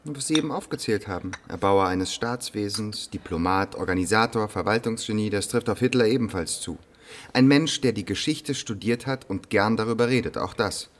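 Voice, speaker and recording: An actor playing a dry, unyielding historian in a radio play, speaking soberly and precisely. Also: male voice, fairly low pitch, moderate pace, natural voice. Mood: drily provocative. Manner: sober, precise, matter-of-fact. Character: dry, unyielding male academic historian, provocatively factual. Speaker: historian giving expert opinion. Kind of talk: naturalistic radio play dialogue.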